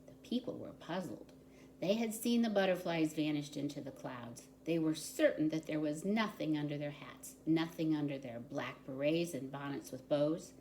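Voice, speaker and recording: A woman reading a picture book aloud to young children in an expressive, deliberate storytelling manner. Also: low-pitched, a little hiss or hum, poor audio. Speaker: volunteer story reader.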